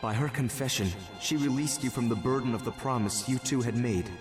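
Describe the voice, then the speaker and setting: A man playing a thoughtful, earnest young ninja in an anime game, speaking in a measured, explanatory way. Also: medium pitch, far from the mic, music in the background, close to an everyday voice. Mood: solemn. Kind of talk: English anime game voice acting.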